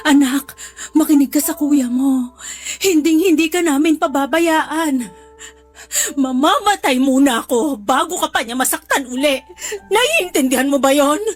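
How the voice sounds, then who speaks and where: A very high-pitched, tearful mother pleads with deep remorse and protectiveness. It is emotional radio drama acting, with faint music playing underneath. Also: lively intonation, a little slow. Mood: protective. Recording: faint music bed behind the voice.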